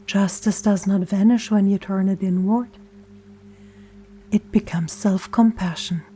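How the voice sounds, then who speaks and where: A woman leading a gentle scripted guided meditation in a soft, soothing, often breathy near-whisper, with deliberate pauses. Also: medium pitch, fairly even intonation, a little hiss or hum. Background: soft meditation music and singing bowl tones.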